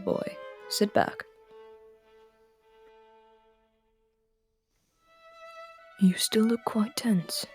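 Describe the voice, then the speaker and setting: A woman voicing an aloof, aristocratic immortal prince in an audio roleplay drama, speaking in a cool, commanding tone with soft murmured asides. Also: on the low side. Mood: soothing. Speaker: voice actor.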